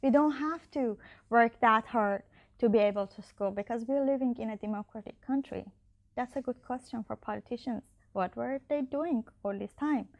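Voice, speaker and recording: A woman's voice: fairly high pitch, moderate pace, highs cut off. Hesitant young woman candidate, many fillers. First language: not Icelandic. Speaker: election candidate. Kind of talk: interview answers.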